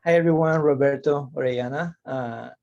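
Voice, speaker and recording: A man from Guatemala introduces himself to the panel with hesitant, modest phrasing. His voice has a strong gravelly fry, and he speaks over a video call. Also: medium pitch, fairly quick, dark tone, lo-fi sound. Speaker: academic panelist. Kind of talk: spoken self-introduction.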